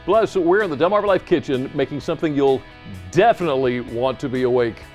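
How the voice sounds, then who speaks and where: A middle-aged man co-hosting a TV magazine show in a studio, reading segments with a lively delivery. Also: mid-range voice, fairly quick, faint music behind. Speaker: co-host. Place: TV studio set.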